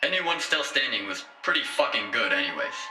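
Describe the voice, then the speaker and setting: An excitable male voice with lively swings plays a wisecracking cyberpunk hacker on a game show, acted by a man. He sounds sardonic, in an echoey drama mix with music behind. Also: mid-range voice, brisk, close to an everyday voice. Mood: sardonic banter.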